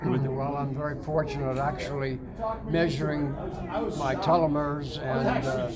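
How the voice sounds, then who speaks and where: A man in his ninetieth year, talking casually from inside a sauna, halting with many fillers and restarts. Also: medium pitch, level tone, a loud crowd. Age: older. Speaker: sauna customer. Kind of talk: casual testimonial chat. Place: indoors, seated in a sauna.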